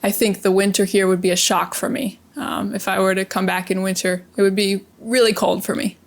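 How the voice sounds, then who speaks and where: A woman's voice: mid-range voice, fairly even intonation, brisk, gravelly. A woman with an American accent, hosting a casual interview and explaining things to English learners. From Maine, USA. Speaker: host of an English-learning channel.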